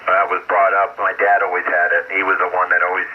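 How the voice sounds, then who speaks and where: Middle-aged male ham operator, low-pitched, rambling and casual, heard over a muffled radio link with faint noise. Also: completely flat delivery, fairly quick. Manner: rambling, hesitant, conversational. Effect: heard over shortwave radio.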